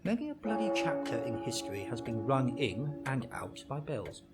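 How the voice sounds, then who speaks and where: An actor playing a cool, incisive English detective in a radio drama, crisp, sardonic and lecturing, with a British accent. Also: male voice, medium pitch, very animated, normal speed, over loud music, natural voice. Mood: erudite.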